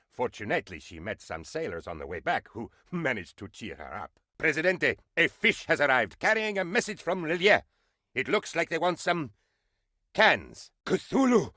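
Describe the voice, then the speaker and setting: An actor voicing an excitable, devoted, scatterbrained aide to an island dictator, theatrical and eager to please, in a video game. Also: male voice, fairly high pitch, no room sound, clean studio sound, full character voice. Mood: hushed, bemused, then urgent. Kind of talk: video game character voice lines.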